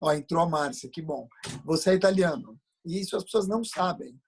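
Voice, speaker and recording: A man with a husky voice, hosting an informal comic interview on a video call in a teasing, interrupting manner. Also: on the high side, fairly quick, a little hiss or hum.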